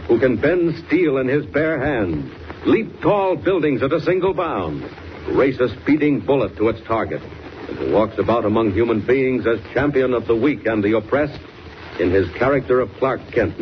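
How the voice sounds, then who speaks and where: A man narrating a scripted radio serial as a declamatory, dramatic 1940s announcer. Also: mid-range voice. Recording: noisy, muffled 1940s radio serial recording.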